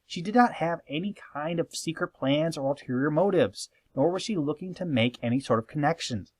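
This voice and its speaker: A man delivers scripted commentary with very animated intonation. He is conversational and emphatic, with a playfully sarcastic edge. The sound is dry, with hardly any room to it. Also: fairly high pitch, a little fast, no room sound. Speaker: host of a fan opinion video.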